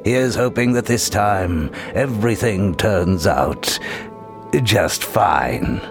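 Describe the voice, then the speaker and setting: A man playing a genial, mysterious Halloween storyteller, narrating in a measured, ominously playful, theatrical way. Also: lowish voice, gravelly. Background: music.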